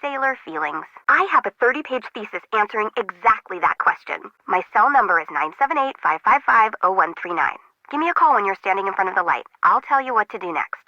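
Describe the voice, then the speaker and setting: A woman's voice: medium pitch, lively intonation, brisk, pushed and bright, no room sound, muffled recording. A woman voicing a quirky, anxious young intern who works as a radio operator in a video game, with slangy, dry, jokey delivery. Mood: dry, chatty. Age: young adult. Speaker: harbor control tower operator.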